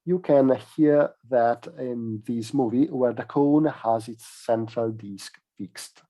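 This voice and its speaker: A man giving a technical conference presentation as a researcher, explaining in a measured way with hesitant pauses mid-phrase. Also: mid-range voice, dark tone.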